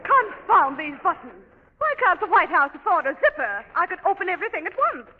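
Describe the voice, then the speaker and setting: Very high-pitched imperious lady president. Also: very animated, fairly quick. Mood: flustered, commanding. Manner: grand, exasperated, scatterbrained. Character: flighty, imperious woman president in comedy sketch. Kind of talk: 1930s radio comedy acting. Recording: noisy, tinny 1930s radio broadcast.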